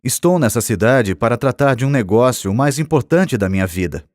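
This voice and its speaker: A man's voice: mid-range voice, lively intonation, moderate pace, a little mellow, no echo, studio quality, close to an everyday voice. A man with a Brazilian accent voicing a despondent, anxious businessman of forty in an audiobook, lamenting and pleading earnestly. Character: despondent, anxious forty-year-old businessman lacking self-confidence. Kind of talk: audiobook character dialogue.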